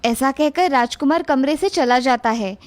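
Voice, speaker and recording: A woman's voice: high-pitched, normal speed. A woman narrating a scripted children's fairy tale as storyteller.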